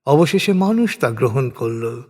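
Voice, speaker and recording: A man reads translated scripture as a solemn, scripted narration, in a measured and formal manner. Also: mid-range voice, lively intonation, a little mellow. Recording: long hall-like reverb.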